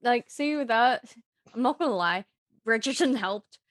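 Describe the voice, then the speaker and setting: A woman's voice: fairly high pitch, lively intonation, moderate pace, no room sound. Informal woman. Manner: informal, dropped Ts. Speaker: voice actor, podcast guest. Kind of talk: casual chat.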